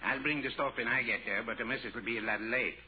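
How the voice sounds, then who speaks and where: A man's voice: medium pitch, fast, breathy edge. An actor playing a genial Swedish immigrant family man with muddled English and a Swedish accent, in 1940s radio comedy style. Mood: jovial, exasperated. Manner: muddled idioms and grammar. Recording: tinny old radio broadcast, faint noise.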